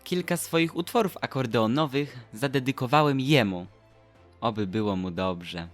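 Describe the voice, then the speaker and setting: Audio drama role: naive stone boy, played by a man, curious and a little timid, swooping high intonation, music underneath. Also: lively intonation, normal speed, natural voice.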